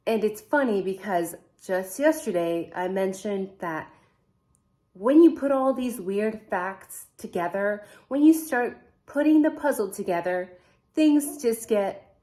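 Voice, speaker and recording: A woman's voice: medium pitch, dark tone. A woman whose first language is not English, hosting a casual true crime vlog and chatting to viewers, wondering aloud and putting questions to them. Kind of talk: casual to-camera chat.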